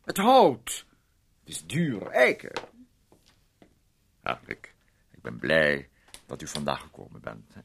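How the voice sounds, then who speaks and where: A man's voice: a bass voice, wildly swooping pitch, takes it slowly, vocal fry, long pauses, fairly bright tone, a little hiss or hum, heavily acted. An actor playing a fussy, manipulative man who poses as a caring guardian in a radio play, talking in a wordy, insinuating, condescending way with tag questions. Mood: patronising, confiding.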